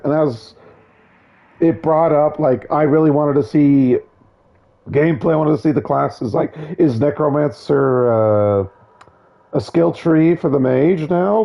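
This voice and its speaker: A hoarse man losing his voice, giving casual, opinionated, digressive commentary on a video game. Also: medium pitch, muffled recording. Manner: opinionated, digressive, many restarts.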